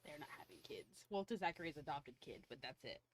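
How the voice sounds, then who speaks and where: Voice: mid-range voice, expressive, quick pace. A person in their thirties, the streamer's spouse, chiming in with dry teasing remarks in casual chat. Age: 30s.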